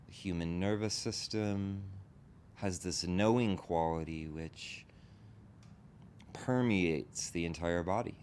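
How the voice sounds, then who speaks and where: Low-voiced man guiding a meditation. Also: takes it slowly. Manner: gently instructing in short, spaced phrases. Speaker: meditation teacher. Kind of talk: guided meditation. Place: group meditation session in a room. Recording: background rumble and noise.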